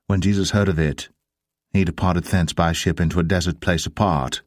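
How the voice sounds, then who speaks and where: A man narrating dramatised scripture in a solemn, measured manner. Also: low-pitched, fairly even intonation, dry recording, clean studio sound.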